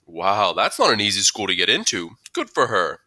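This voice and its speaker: A man's voice: lowish voice, wildly swooping pitch, normal speed, sharp tone, heavily acted. A man voicing a friendly, courteous male school principal in an audiobook, polite, encouraging and emphatic. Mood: friendly, impressed. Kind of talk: audiobook character dialogue.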